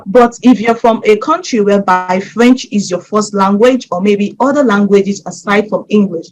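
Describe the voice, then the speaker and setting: Steady Nigerian woman explaining step by step. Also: mid-range voice, poor audio. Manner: steady, methodical, advising listeners directly. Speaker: scholarship advice presenter. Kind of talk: instructional explainer.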